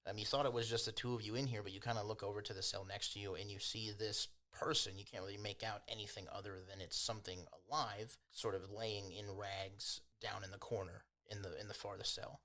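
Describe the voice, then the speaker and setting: A man acting as game master, improvising tabletop game narration in an informal, hedging, unhurried way. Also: fairly low pitch, little pitch movement, pushed and bright.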